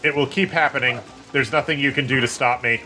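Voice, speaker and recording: A man streaming a video game, rambling, swearing and joking at himself while his voice turns hoarse and tired. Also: mid-range voice, fairly even intonation, fairly quick, sharp tone. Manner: rambling, swearing, self-mocking. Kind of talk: casual gameplay commentary. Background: game music.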